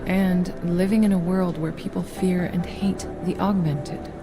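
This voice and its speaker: An actress playing a composed, straight-talking agency doctor in a video game, measured, dry and probing. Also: female voice, fairly low pitch, fairly even intonation, music in the background, close to an everyday voice. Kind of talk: video game cutscene acting.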